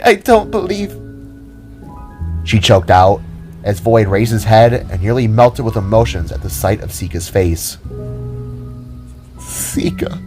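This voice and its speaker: A man's voice: fairly low pitch, warm tone. A man reading scripted, dramatic story narration for an amateur audio drama.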